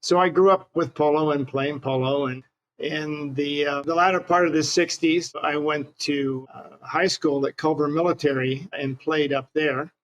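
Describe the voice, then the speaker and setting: A man's voice: fairly high pitch, fairly even intonation, normal speed, highs cut off. An older man from Hawaii with an American accent, casually reminiscing as an interview guest. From Hawaii, United States.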